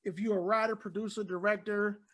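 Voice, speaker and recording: A man hosting a casual live stream, hoarse today, hyping and joking with his guest. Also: high voice, fairly even intonation, a little fast, fairly bright tone. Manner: hyped, joking, encouraging. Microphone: phone or computer live stream.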